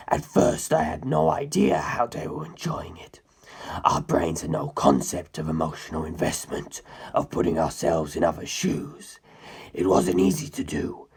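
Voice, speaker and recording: A man voicing an ancient, menacing inhuman being in a dramatic story, gravelly and breathy, with grandiose, condescending speech. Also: heavily acted. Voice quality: very deep, gravelly, husky, breathy. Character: ancient, menacing, superior male non-human predator musing on humans. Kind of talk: dramatic audiobook character reading.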